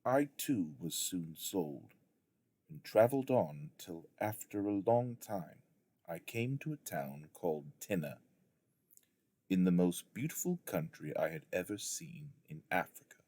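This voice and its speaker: A man reading a storybook memoir aloud as a narrator, in a measured, deliberate storytelling manner. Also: low-pitched, animated, warm tone, no echo. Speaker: audiobook reader, schoolteacher reading aloud.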